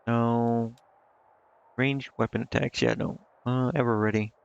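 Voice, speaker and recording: A man streaming a video game, casually thinking out loud and reading menu text to himself, with some offhand swearing. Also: mid-range voice, fairly even intonation, unhurried, vocal fry, clear, resonant tone, no echo, highs cut off. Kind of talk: casual live gameplay commentary, muttering and reading menu text aloud.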